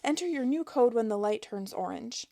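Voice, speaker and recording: A woman voicing a female customer service representative with a high-pitched, syrupy sweet, trained phone manner. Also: lively intonation, moderate pace, mellow. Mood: polite.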